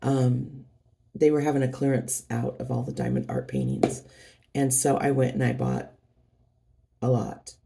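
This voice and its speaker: A middle-aged woman with a cold talks her way through a craft unboxing, her voice hoarse and heavily gravelly. She rambles in a friendly, meandering way, full of ums and restarts. Her tone sits low and mellow. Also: sing-song, unhurried, pauses often. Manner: rambling, chatty, many fillers and restarts. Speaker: craft channel host. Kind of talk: casual unboxing vlog.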